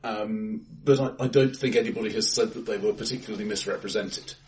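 A man co-hosting a casual film discussion, often repeating words as he forms his point, recorded in a bright, echoey room. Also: fairly low pitch, fairly even intonation, fairly quick, recorded from a distance, a little hiss or hum. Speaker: podcast co-host. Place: acoustically bright room, face to face.

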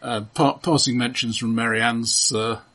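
Husky-voiced man, halting and casual. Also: mid-range voice, fairly even intonation, a bit bright. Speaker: podcast co-host. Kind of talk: casual film chat, running down a list.

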